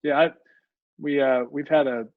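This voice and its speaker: An older man hosting a radio talk show, speaking in halting, rambling sentences full of fillers. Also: on the high side, steady tone, no echo. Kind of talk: radio interview chat. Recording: muffled dry audio.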